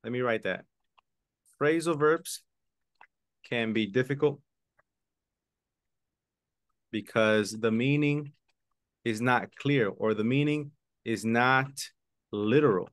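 A man teaching an online English lesson, switching between English and Spanish and repeating key words slowly for his students. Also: medium pitch, dry recording, studio quality. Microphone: video call webcam.

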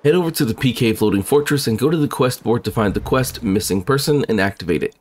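A man narrating a scripted game walkthrough in a brisk, instructional way. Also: medium pitch, a little mellow, slight background noise. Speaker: gaming video guide host.

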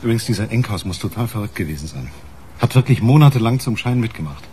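An actor playing a gruff, sceptical homicide detective with a brisk, grumbling manner in a German radio crime play. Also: male voice, lowish voice, lively intonation, mellow, slight background noise, natural voice. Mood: businesslike.

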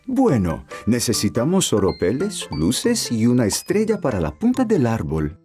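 Patient young cartoon dad, encouraging, very animated intonation, slow pace. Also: male voice, mid-range voice, faint music behind. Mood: helpful, upbeat. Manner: gentle, encouraging. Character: patient, kindly young father. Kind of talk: children's cartoon dubbing.

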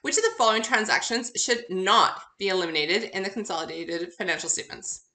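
A woman teaches in a recorded lecture with a bright, clear-cut tone and a medium pitch. She explains conversationally, often asking a question and then answering it. The room adds a noticeable echo around her voice. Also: fairly quick. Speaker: university accounting instructor.

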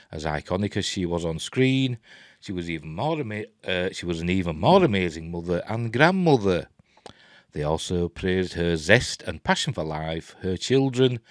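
A man's voice: low-pitched, dry recording, studio quality. A man in his sixties with a Yorkshire accent, giving casual news commentary in a hesitant, rambling way. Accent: Yorkshire English. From Yorkshire, England. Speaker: host of a film and TV news vlog. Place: small cluttered room at home, indoors.

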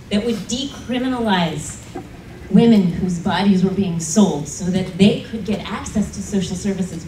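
A woman's voice: medium pitch, mellow, slight background noise. A woman telling a personal story earnestly to a panel audience, with emphatic moments. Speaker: panel speaker. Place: conference room. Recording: roomy conference hall audio from a distance.

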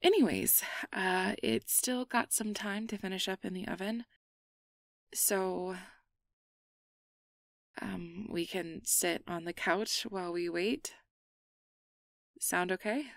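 A woman's voice: medium pitch, takes it slowly, creaky, long pauses, no room sound, clean studio sound. A woman playing an awkward, guarded but secretly tender young changeling in an ASMR roleplay, hesitant and stammering as she downplays things. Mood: awkward, casual.

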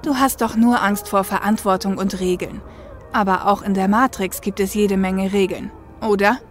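An actress playing a caring, sceptical, down-to-earth young female mercenary in an audio drama, sober and gently chiding. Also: medium pitch, steady tone, moderate pace, natural voice. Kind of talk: German audio drama acting.

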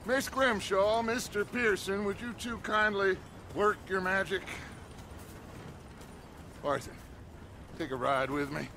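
Slow, gravelly outlaw gang leader. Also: male voice, high-pitched, pushed and bright, slight background noise, heavily acted. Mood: assured, grandiose. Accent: American. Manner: grandiloquent, commanding. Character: commanding, theatrical middle-aged male outlaw gang leader. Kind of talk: cinematic western game acting. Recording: music behind the voice. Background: music.

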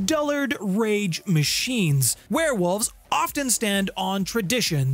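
A middle-aged man hosting a scripted explainer in a theatrical, emphatic way with dramatic pauses, close on a studio mic. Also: sits high. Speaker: host of a tabletop gaming channel. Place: small home room with guitars on the walls. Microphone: close studio condenser mic with pop filter. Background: background music.

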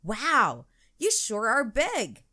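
A woman's voice: on the high side, wildly swooping pitch, very slow, pushed and bright, heavily acted. A woman voicing an eager, plucky little girl in a children's storybook, exclamatory and enthusiastic. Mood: excited.

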